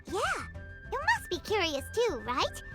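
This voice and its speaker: A woman voicing a small childlike fairy companion in a video game, squeaky, perky and inquisitive. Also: huge pitch swings, measured pace, exaggerated voice. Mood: curious. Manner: refers to self in third person, perky, inquisitive. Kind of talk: cartoonish video game voice acting. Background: music.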